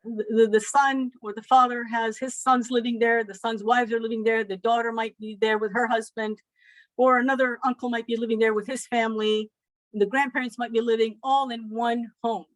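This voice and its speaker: A woman from Afghanistan giving an educational presentation as a lecturer, measured and pausing often with ums. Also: on the high side, flat delivery, no echo, low-quality recording. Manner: measured, with frequent ums and pauses.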